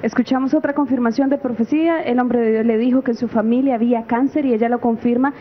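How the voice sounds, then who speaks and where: A woman interprets the testimonies at a very fast, rapid pace. Her voice is fairly high. The audio is dull and muffled. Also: fairly even intonation, a little hiss or hum.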